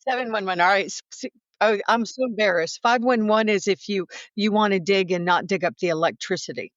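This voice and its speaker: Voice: mid-range voice, a little fast, a bit bright, no room sound, lo-fi sound. An older American interviewer with an effusive, rambling, chatty way of talking, repeating words for emphasis. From United States. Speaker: podcast co-host, interviewer.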